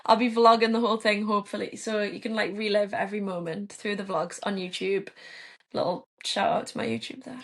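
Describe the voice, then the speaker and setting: A woman's voice: medium pitch, steady tone, fairly quick, gravelly. A young woman, co-hosting a podcast in upbeat, giggly chat. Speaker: podcast co-host. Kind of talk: casual chat.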